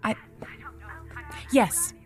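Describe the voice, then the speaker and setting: A woman's voice: drawn-out words, soft background music. A woman playing an earnest, secretive and insistent member of a theatre troupe in an audio drama. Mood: anxious resolve.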